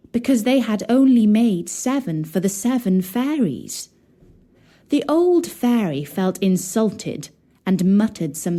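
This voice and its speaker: A woman reading a scripted fairy tale for children as a narrator, in a measured, expressive read-aloud manner. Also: medium pitch. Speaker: storyteller, audiobook narrator.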